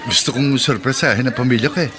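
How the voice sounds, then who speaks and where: A man's voice: medium pitch, quick pace, crowd noise, lightly acted. A man playing a good-humoured homecoming overseas worker in a radio drama, jovial and bantering. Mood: jovial, amused. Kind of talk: Filipino radio drama acting.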